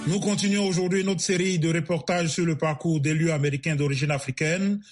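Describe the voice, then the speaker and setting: Man, radio presenter, slightly high voice, even, formal, scripted. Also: fairly quick, soft background music. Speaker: news presenter. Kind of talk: scripted introduction.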